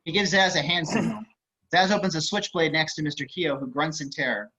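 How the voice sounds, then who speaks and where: A man's voice: a little high, fairly even intonation, fairly quick, pushed and bright, slightly rough. A man reading screenplay action as scripted narration over a video call. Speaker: stage directions reader. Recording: slightly muffled video-call audio.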